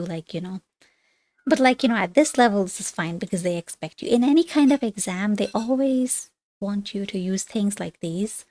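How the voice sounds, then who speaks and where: A woman studying German on a livestream, reading workbook exercises aloud and thinking out loud in as she checks her answers. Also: mid-range voice, no room sound. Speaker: language learner studying on a livestream.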